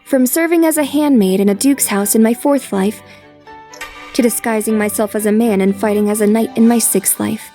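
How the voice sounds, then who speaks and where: A woman plays a determined young noblewoman in an anime. The voice sits a little high and sounds polite, composed and reflective. Soft music plays behind it. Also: moderate pace, close to an everyday voice. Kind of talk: anime dub acting with inner narration.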